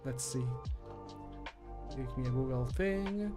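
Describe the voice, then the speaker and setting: A man's voice: mid-range voice, lively intonation, takes it slowly, over music. A man modding a game and recording voice lines, chatting casually to himself and laughing often. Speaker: modder and streamer.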